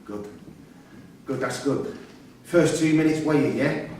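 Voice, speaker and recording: An actor in a staged reading playing an imprisoned father, pleading and insistent, quick to swear and anger. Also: medium pitch, darkish tone, lightly acted. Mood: friendly, anxious. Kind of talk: live staged play reading. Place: theatre-pub performance space, recorded from a distance. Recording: roomy far-off stage recording, faint noise.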